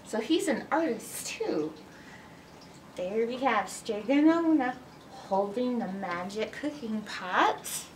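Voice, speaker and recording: Slow, very animated high-voiced woman. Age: middle-aged. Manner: animated, exaggerated, teacherly, asking questions. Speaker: children's librarian, story time host. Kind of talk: read-aloud story time for young children. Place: indoors in a library, by bookshelves. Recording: roomy distance from mic, background noise.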